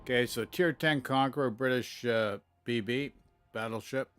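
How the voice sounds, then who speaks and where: A man's voice: mid-range voice, moderate pace, fairly bright tone, smooth. A man chatting casually on a live game stream, with grumbling asides.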